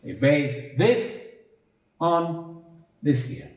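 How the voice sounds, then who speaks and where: A man's voice: fairly high pitch, sing-song, drawn-out words, darkish tone. Man lecturing with very swooping intonation, roomy sound. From Bosnia. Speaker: researcher presenting his analysis. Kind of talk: explanatory lecture to camera. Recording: roomy echo, distant microphone.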